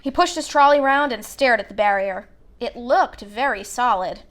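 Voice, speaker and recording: A woman reads a story aloud as narrator. Her voice sits high, and she tells it expressively in a storybook style. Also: normal speed, fairly bright tone.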